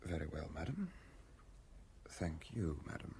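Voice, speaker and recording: A man's voice: very deep voice, big pitch swings, a little slow, dark tone, a little hiss or hum, heavily acted. An actor in a radio drama playing a male servant with a formal, obsequious manner. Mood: obsequious, restrained.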